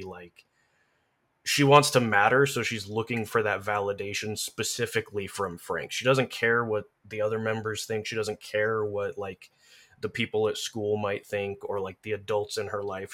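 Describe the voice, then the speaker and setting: A man with a fairly low voice talks casually in a podcast conversation. He thinks aloud, often halting and rephrasing as he goes. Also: a bit bright. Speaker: podcast speaker. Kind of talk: casual conversation about game lore.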